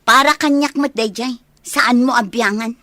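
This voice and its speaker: A woman's voice: fairly high pitch, moderate pace, sharp tone, no echo. A woman with an Ilocano accent playing a whiny, nosy child of a village household in a comedy radio drama, questioning and complaining. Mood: wheedling.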